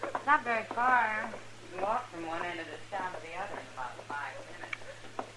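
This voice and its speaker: A woman's voice: fairly low pitch, big pitch swings, moderate pace. Frank western saloon woman, noisy recording. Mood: breezy. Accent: American. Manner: direct, reassuring. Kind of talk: 1950s radio western acting. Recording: noisy old radio recording.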